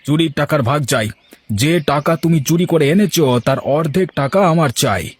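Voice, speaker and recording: A man's voice: a little high, normal speed, husky, no room sound. A man voicing a brazen, demanding village blackmailer in a cartoon, speaking in a pushy way. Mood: smug, demanding. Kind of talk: cartoon voice acting.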